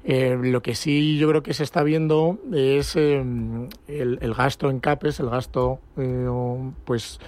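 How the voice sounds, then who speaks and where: Male panel guest, central Spanish accent, mid pitch, unscripted and self-correcting. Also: fairly even intonation, slow pace, few pauses, darkish tone, dry recording. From Ciudad Real, La Mancha, Spain. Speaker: financial sales executive, panel guest.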